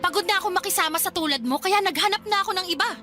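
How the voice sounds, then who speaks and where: A woman's voice: very high voice, racing, pushed and bright, faint music behind. An actress with a Filipino accent playing a cruel, scornful young woman in a radio drama, taunting and impatient. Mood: cruel, mocking. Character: scornful, cruel young female partner, cold and mocking.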